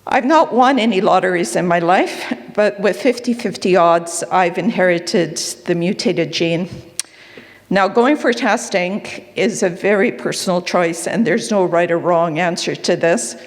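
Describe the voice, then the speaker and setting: A woman's voice: on the low side, a little slow. A woman with a Canadian accent giving an earnest, candid personal talk at a conference, with fillers and small asides. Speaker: conference speaker. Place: conference hall. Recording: long reverb of a hall.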